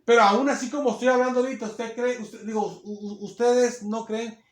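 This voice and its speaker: A man delivers a sermon in a very high, ringing voice. His naturally powerful voice stays partly held back, then swells into emphatic, louder stretches. The sound carries the echo of a reverberant church room. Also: little pitch movement, fairly quick. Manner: loud, strong voice held back, rising to emphatic bursts. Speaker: preacher.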